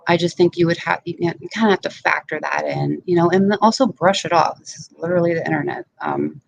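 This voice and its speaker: Super gravelly voice, laid back rambly guest, kinda dull lo-fi mic. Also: mid-range voice, very animated, a little fast. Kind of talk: casual panel chat. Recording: dull lo-fi mic, faint noise.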